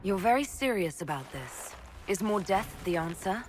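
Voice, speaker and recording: An actress playing a stern, commanding leader passing judgment in a fantasy video game, grave and deliberate. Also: female voice, medium pitch, lively intonation, darkish tone, slight background noise, lightly acted. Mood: grave, questioning. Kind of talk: video game dramatic dialogue.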